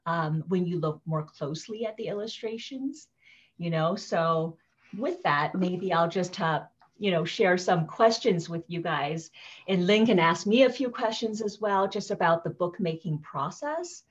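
A woman's voice: fairly low pitch, animated, unhurried. A woman author in her thirties chatting casually about her picture book over a video call, thoughtful, with many fillers. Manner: warm, thoughtful, many ums and you-knows. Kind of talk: casual live book talk. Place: living room with fireplace. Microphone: laptop webcam video call. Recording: dull lo-fi video call audio.

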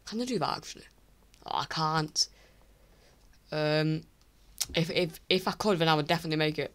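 A teenage boy from England ranking online creators in casual screen-recorded commentary, rambling and apologetic with many restarts, his voice croaky from recording late at night. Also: on the high side, normal speed, fairly bright tone. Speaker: YouTuber. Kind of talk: casual tier list commentary.

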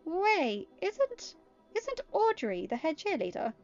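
A woman's voice: very high-pitched, wildly swooping pitch, slow pace, highs cut off, faint music behind, voice pushed up, exaggerated voice. A woman voicing a wry, irreverent teenage girl in an amateur storybook reading. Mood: puzzled.

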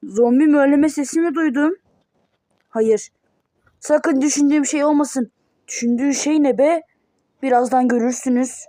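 A woman voicing panicky kid characters in a homemade cartoon, with excitable exclamations. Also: sits high, moderate pace, long pauses, dry recording. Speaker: cartoon voice performer.

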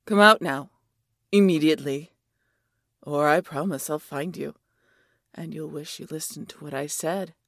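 A woman playing a proud, menacing wounded dragon in a fantasy audio roleplay, with haughty, deliberate delivery and a breathy, whispery growl. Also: low voice, fairly bright tone, dry recording, studio quality, heavily acted. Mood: angry, commanding. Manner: haughty, slow, deliberate threats. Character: proud, menacing wounded female dragon guarding her hoard.

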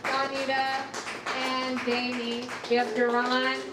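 A young woman casually hosts a live event in a high voice. Her pace is relaxed and slow. She is recorded from afar with very loud noise around her. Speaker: event host. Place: rehearsal hall before live audience, masked. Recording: distant, echoey hall, loud crowd noise.

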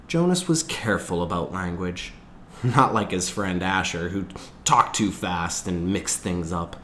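A man reading a novel aloud as a teacher, in expressive storytelling narration. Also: low-pitched, slight background noise. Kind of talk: expressive audiobook-style narration.

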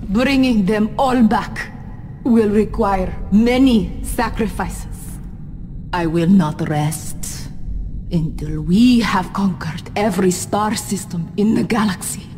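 A ruthless alien warrior woman, acted by a woman, speaks in a slow, measured, threatening way. Her voice has a long echo, and music plays beneath her in this video game cutscene. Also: medium pitch, a little mellow, natural voice. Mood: menacing. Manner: cold, measured, menacing.

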